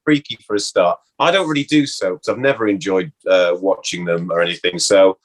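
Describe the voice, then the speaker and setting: A middle-aged man with a British accent, a former actor chatting casually and haltingly, repeating words for emphasis. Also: deep voice. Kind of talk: casual interview chat.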